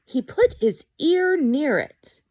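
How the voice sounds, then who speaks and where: A woman's voice: high-pitched, very animated, slow pace, darkish tone. A woman reading a children's picture book aloud expressively and chatting to young listeners about it. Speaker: storyteller.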